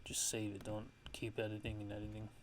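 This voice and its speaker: A man giving a casual ASMR music production tutorial, thinking aloud in a halting way as he works. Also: on the low side, monotone, a little hiss or hum.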